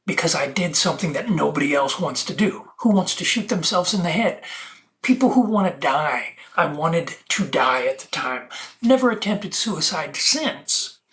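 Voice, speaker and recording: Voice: mid-range voice, fairly bright tone. Preachy, earnest solo video host. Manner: preachy, earnest, emphatic wordplay on split-up words. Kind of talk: rambling spiritual monologue to viewers.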